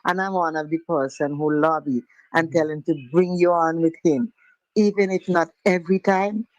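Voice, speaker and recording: A woman's voice: low-pitched, steady tone, moderate pace, dry recording, low-quality recording. A woman phoning a radio show, chatting in a casual, familiar way. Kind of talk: casual phone-in chat. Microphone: phone call.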